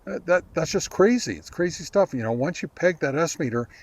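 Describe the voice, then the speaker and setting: A man narrating a casual product test as a review host, talking step by step in a halting way. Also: fairly high pitch, lively intonation. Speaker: host of a ham radio gear review channel.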